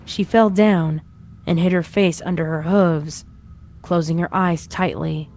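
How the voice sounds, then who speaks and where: A woman's voice: lowish voice, fairly even intonation, measured pace, a little mellow. A woman reading a horror story as its narrator, in a dramatic scripted style. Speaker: fan fiction narrator. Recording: music behind the reading.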